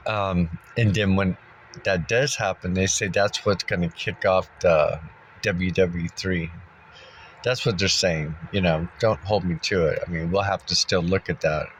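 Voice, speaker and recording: A man's voice: deep voice, fairly even intonation, measured pace, a little hiss or hum. A man vlogging in a meandering, casual monologue, congested and coughing now and then, thinking aloud with many fillers. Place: sitting in a dusty spot, maybe in a car.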